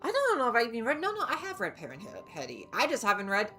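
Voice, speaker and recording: A woman giving casual live game commentary as a streamer, thinking aloud in a halting way. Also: a little high, huge pitch swings, bright, forceful tone, faint music behind. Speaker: livestreamer playing a game.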